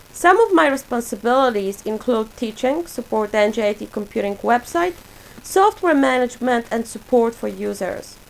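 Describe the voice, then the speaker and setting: A woman in her forties from Poland, a native Polish speaker, reading a prepared self-introduction for her portfolio in a measured, list-like way. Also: on the high side, creaky, slight background noise, low-quality recording. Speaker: graduate student presenting a portfolio.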